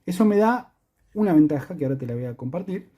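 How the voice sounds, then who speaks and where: A man's voice: on the high side, expressive, fast talker, mellow. A man with an Argentine Spanish accent presenting a hands-on electronics tutorial, explaining step by step and often checking in with the listener.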